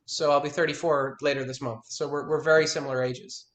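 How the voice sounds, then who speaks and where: A man's voice: a little high, little pitch movement, a little fast, fairly bright tone. Emphatic man in his thirties, roomy sound. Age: 30s. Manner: emphatic, repeats words as he restarts, frank. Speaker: guitar teacher. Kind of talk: one-on-one lesson consultation.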